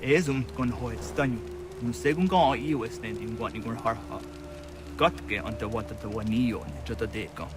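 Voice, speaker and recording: An actor playing a solemn, earnest villager in a historical video game, speaking in measured dramatic dialogue. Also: male voice, medium pitch, music in the background, lightly acted.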